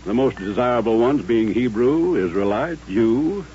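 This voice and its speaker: A man acting in an old-time radio drama as a courtly, dignified colonel of mature years, with formal, measured speech. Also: medium pitch, animated, warm tone, close to an everyday voice. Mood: grave, dignified. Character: courtly, dignified older Southern colonel turned rancher. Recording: muffled old radio recording with hum.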